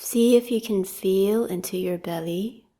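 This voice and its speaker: Woman, meditation teacher, dark mellow tone, very slow pace, soft and slightly breathy, calm, gentle guided instructions. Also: medium pitch. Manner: calm, gentle, unhurried with long pauses.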